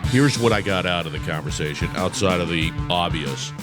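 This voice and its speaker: A man with a raspy voice giving a punchy, promotional podcast outro. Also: low-pitched, animated, fairly quick. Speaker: podcast host. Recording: music bed underneath.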